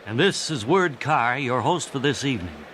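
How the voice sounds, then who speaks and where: A man's voice: mid-range voice, sing-song, normal speed, a bit bright, a little hiss or hum. A man playing a formal, pompous host who announces a stage show in old-time radio style. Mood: formal, put out. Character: formal, self-important male stage announcer.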